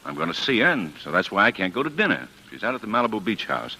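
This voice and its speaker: Harried producer husband in old 1940s radio drama, sharp bright voice, really animated, pleading and exasperated. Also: male voice, fairly low pitch, quick pace, creaky, full character voice, poor audio. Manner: earnest, insistent, placating.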